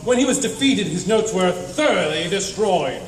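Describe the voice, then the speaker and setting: A man playing a pompous, self-satisfied gentleman adventurer in a comedic radio play, with a grandiose, florid delivery. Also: high-pitched, lively intonation, moderate pace. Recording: echoey hall, far from mic, faint noise.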